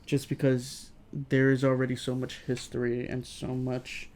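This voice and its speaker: A man co-hosting a casual film review chat, speaking haltingly as he thinks aloud. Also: medium pitch, monotone, darkish tone, slight background noise. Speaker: podcast co-host reviewing films.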